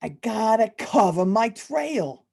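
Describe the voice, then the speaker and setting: A woman's voice: fairly high pitch, sing-song, slow pace, gravelly, mellow, full character voice. A woman playing an exasperated, anxious middle-aged male mobster and family father in an amateur comic radio play. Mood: agitated. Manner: exasperated, anxious, slangy wiseguy talk. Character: harried, short-fused middle-aged male mob boss and family father.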